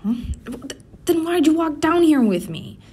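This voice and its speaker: A man playing a slow-talking, prickly, flustered office worker. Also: very animated, a little mellow, heavily acted. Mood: defensive, snappy. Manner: stammering, defensive, grumbling. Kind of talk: tsundere roleplay audio addressed to listener. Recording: faint rumbling office and city background noise.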